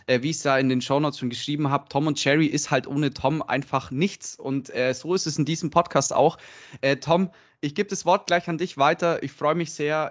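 A man hosting a podcast, opening the episode in a chatty, joking way. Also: a little high. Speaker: podcast host. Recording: dull lo-fi sound.

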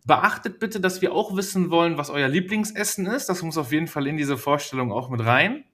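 A slightly high-voiced man hosting a relaxed podcast conversation. He teases his guests and keeps laughing between sentences. His talk is loose and casual. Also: a little fast. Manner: playful, laughs often, teasing. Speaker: podcast host.

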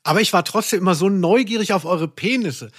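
A man's voice: high-pitched, brisk, a bit bright. A man hosting a podcast, chatting casually in a rambling, self-deprecating way. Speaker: podcast host. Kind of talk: casual conversational podcast chat.

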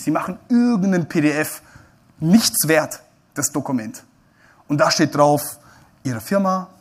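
Male keynote speaker, slightly high voice, playful, quizzes the crowd from the stage. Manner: lively, joking, addresses the audience directly with questions. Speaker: keynote speaker at a brokers' congress. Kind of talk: live stage talk to an audience. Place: congress stage before an audience.

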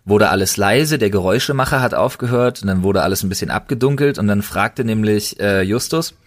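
Low-voiced man chatting enthusiastically. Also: brisk. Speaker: podcast co-host. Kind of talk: casual podcast chat.

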